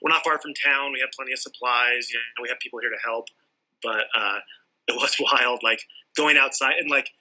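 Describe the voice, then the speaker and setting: A man's voice: mid-range voice, fairly even intonation, brisk, sharp tone, low-quality recording. A man from Southern California, a sculptor and art teacher, chatting casually in an interview and rambling with lots of "like" and restarts. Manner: rambling, many likes and restarts.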